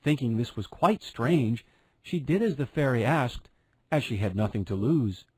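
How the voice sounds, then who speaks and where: A man's voice: mid-range voice, lively intonation, moderate pace, breathy edge, no room sound, low-quality recording. A man narrating a fairy tale as a scripted storyteller.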